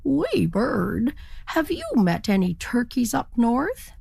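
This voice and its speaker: A woman's voice: a little high, sing-song, slow pace, creaky, a little mellow, husky, no echo, pitched above the performer's own voice, exaggerated voice. A woman voicing a proud, earnest male turkey adventurer in a recorded children's story.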